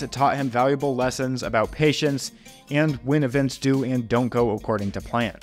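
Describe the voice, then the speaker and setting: A young man in his twenties narrating a scripted money-history video from a small home studio, with steady, upbeat explainer delivery into a podcast microphone. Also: mid-range voice. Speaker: finance video host. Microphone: podcast mic on boom in front.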